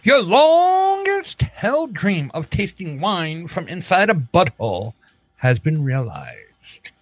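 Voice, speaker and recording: A man's voice: high-pitched, huge pitch swings, slow, dry recording. A man co-hosting a comedy podcast, reading odd news with sweary, teasing, casual banter.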